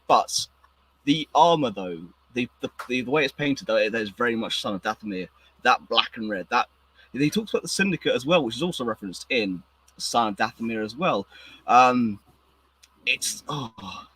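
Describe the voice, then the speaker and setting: Husky, hoarse man chatting excitedly. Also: mid-range voice, low-quality recording. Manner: excited, rambling with many restarts. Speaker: podcast host. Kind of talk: casual chat.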